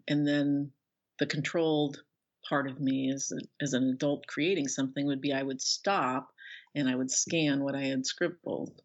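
A woman's voice: very deep voice, steady tone. Older woman, slightly dull audio, reflective. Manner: thoughtful, pausing, searching for words. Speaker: author, interview guest. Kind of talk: reflective conversational interview.

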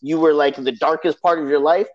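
A man's voice: a little high, fairly even intonation, quick pace. A man in his thirties talking emphatically in a slangy, casual way as a podcast guest. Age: 30s. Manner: emphatic, slangy, repeats "you know what I'm saying". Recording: dull lo-fi sound.

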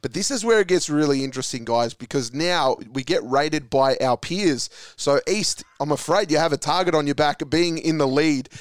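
Upbeat Australian male quiz host, smooth and crisp, dry close recording. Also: a little high, moderate pace, few pauses, no room sound. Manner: upbeat, reads clues then calls answers. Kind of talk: hosting a podcast sports quiz.

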